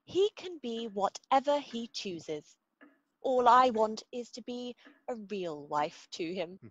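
A woman's voice: fairly high pitch, very animated, slow pace, fairly bright tone, no room sound, lo-fi sound, heavily acted. An actress playing a playful, teasing young upper-class lady in a period comedy radio play, airy and pert.

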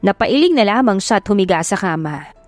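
A woman's voice: mid-range voice, expressive, brisk, no room sound. A woman with a Filipino accent narrating a dramatic audiobook. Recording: music behind the voice. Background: music.

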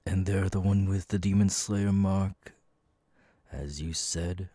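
A man voicing a menacing, imperious demon lord, cold and cruel, with a husky, breathy voice, in an ASMR audio roleplay. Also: low voice, fairly even intonation, mellow, no room sound, exaggerated voice. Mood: cold, haughty. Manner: slow, deliberate, commanding, threatening.

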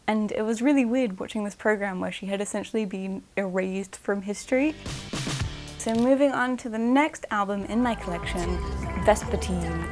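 A woman's voice: mid-range voice, a little mellow. Young woman vlogging from her bedroom. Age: young adult. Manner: enthusiastic, thoughtful, slightly chatty. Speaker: vlogger reviewing records. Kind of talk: casual talk to camera. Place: bedroom, indoors.

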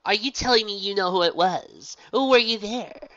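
A woman's voice: mid-range voice, moderate pace, fairly bright tone, voice pushed up, lightly acted. A woman voicing a wry, guarded female pony gym leader who is easily worried. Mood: wary. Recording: dull, dry lo-fi recording.

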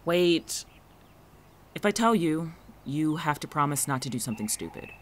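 A man's voice: fairly high pitch, big pitch swings, normal speed, a bit bright, slight background noise. A man playing a guarded, watchful friend in an audio drama, speaking conversationally with dry, exasperated sarcasm. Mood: guarded, cautious. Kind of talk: naturalistic audio drama dialogue.

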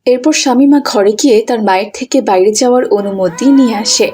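A woman's voice: on the high side, a little fast, dark tone. A woman narrator reading a scripted story for children.

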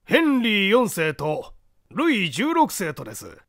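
Courteous castle owner for mystery audiobook male reader; high, melodic, formal explainer of old secrets. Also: huge pitch swings, fairly bright tone, dry recording, clean studio sound, full character voice. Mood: courteous, explanatory. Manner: formal, measured storytelling.

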